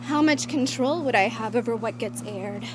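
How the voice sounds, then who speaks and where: A woman's voice: fairly high pitch, moderate pace, music in the background, natural voice. A woman playing a spoiled, condescending actress in her early thirties in a naturalistic audio drama. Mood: curt, haughty.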